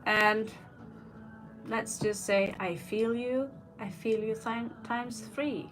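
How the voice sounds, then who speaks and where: A woman's voice: a little high, fairly even intonation, takes it slowly. A woman doing a live tarot reading, chatting in a rambling way with personal asides between the cards. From Norway. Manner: rambling, personal asides, reads out viewer questions. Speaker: tarot reader. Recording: faint music behind the voice.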